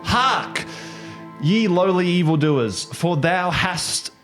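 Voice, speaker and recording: Man putting on a grandiose, slow archaic proclamation. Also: high voice, animated, pitched above the performer's own voice. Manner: grandiose, drawn-out old-fashioned delivery. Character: bureaucratic goddess company representative reciting pompous archaic proclamation. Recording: music behind the voice.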